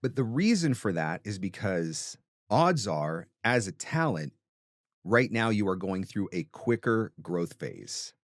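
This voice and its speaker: A man's voice: fairly low pitch, very animated, measured pace, no room sound, clean studio sound. A man hosting a podcast, giving conversational advice emphatically, with rhetorical questions and frequent check-ins. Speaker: podcast host and voice-over coach.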